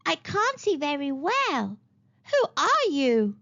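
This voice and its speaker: Woman putting on a croaky, high-pitched wicked old witch. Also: huge pitch swings, slow pace, fairly bright tone, dull audio, exaggerated voice. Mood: wheedling. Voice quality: croaky, cackling. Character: wicked old witch, croaking, cackling, feigning kindness to children.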